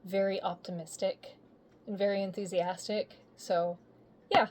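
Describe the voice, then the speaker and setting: Mellow-voiced chatty woman. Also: mid-range voice, level tone, unhurried, a little hiss or hum. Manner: chatty, easygoing storyteller. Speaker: voice actor guest. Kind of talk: casual interview chat.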